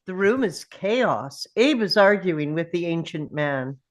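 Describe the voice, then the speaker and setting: A woman's voice: lowish voice, expressive, a little slow. A woman reading scripted stage directions as narrator at a table read.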